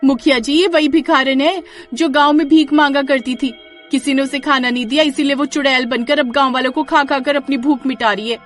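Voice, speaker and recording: Woman playing a high, plucky village wife. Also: steady tone, brisk, faint music behind, lightly acted. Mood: urgent.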